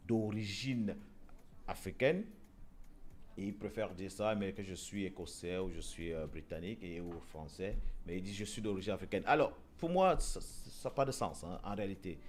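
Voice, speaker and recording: A man's voice: low voice, normal speed, darkish tone, slight background noise. A man hosting a casual talk show, speaking hesitantly with many repeated words and fillers. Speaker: show host. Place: Europe.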